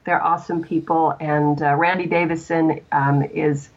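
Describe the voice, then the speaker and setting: A woman chatting casually as an interview guest, with halting phrasing and repeated words. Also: deep voice, flat delivery, lo-fi sound. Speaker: guest, audio drama producer.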